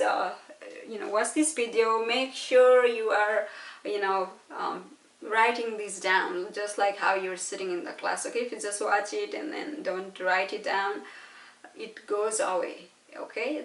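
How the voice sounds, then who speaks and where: A woman's voice: mid-range voice, measured pace. A woman from Nepal with a Nepali accent giving an informal physics lecture, explaining through questions and frequent little checks for understanding. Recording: echoey room, distant mic.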